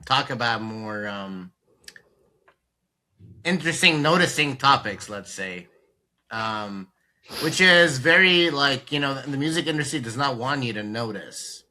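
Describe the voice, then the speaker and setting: Guy cohost with a super bright sharp voice, kinda hesitant, feels his way through questions. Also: medium pitch, lively intonation. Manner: hesitant, halting phrasing. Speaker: podcast co-host, interviewer. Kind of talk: casual conversational interview.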